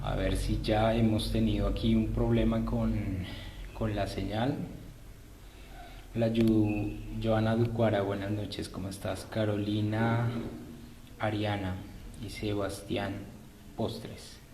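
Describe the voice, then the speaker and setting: Slow-paced man, echoey room. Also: fairly low pitch, flat delivery, dark tone, slight background noise. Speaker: host of a live stream. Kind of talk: live-stream greeting and announcements to viewers. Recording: roomy echo.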